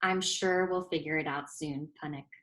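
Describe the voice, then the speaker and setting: A woman voicing a calm, reassuring storybook mother with a gentle, soothing way of talking. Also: low-pitched, moderate pace, a little hiss or hum, pitched below the performer's own voice.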